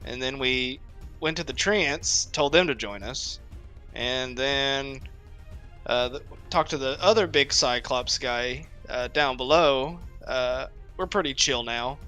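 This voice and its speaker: Man as a bright-voiced, breezy pirate captain. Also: medium pitch, slow, smooth, soft background music. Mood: breezy, nonchalant. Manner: offhand, rambling, nonchalant. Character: breezy, casual pirate captain who shrugs off danger.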